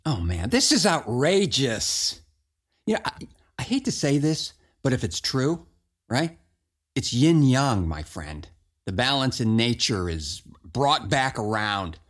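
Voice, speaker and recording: Male host, very lively intonation, dry close sound, theatrical wry chat. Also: mid-range voice, takes it slowly, dry recording. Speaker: podcast host. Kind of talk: casual chat and show introduction.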